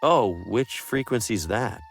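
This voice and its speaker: A man's voice: mid-range voice, animated, measured pace, gravelly, slight background noise. An actor playing a bemused, jittery traveling salesman with wry asides in an adventure video game. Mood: curious, dry. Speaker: player character in adventure game.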